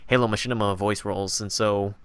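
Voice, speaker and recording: A man's voice: lowish voice, steady tone, fast talker, fairly bright tone, smooth, no room sound, studio quality. A man hosting a casual, jokey and informal interview chat. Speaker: podcast host.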